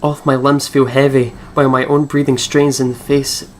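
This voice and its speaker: A man's voice: mid-range voice, little pitch movement, a little fast, soft background music. A man reading a visual novel aloud as a let's player, with casual asides.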